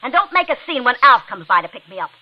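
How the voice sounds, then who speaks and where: An American actress playing a bitter, taunting unfaithful wife in a 1940s radio drama, with a sneering, cutting delivery. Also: female voice, fairly high pitch, very animated, fast. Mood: scornful, mocking. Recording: dull, noisy old radio recording.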